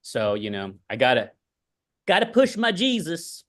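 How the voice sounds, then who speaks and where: A man's voice: fairly high pitch, wildly swooping pitch, measured pace, a bit bright. A man hosting an online chat who explains his beliefs earnestly and keeps checking in with "right?" and "okay?". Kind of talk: casual chat and preaching. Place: online video meeting.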